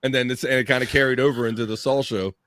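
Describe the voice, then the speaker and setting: A man's voice: mid-range voice, steady tone, fast talker, a bit bright, no echo. A man chatting casually as a podcast guest in an easygoing, agreeable way.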